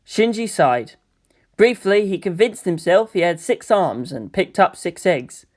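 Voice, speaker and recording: A man's voice: high voice, big pitch swings, unhurried, darkish tone. Man narrating a story aloud. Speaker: narrator reading fan fiction aloud. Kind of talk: scripted narration, audiobook storytelling with character voices.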